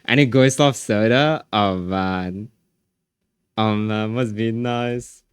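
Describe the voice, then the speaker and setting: Low-voiced man chatting with his stream. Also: takes it slowly, clean tone, no room sound, studio quality. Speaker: streamer reading chat. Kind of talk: casual live-stream chat.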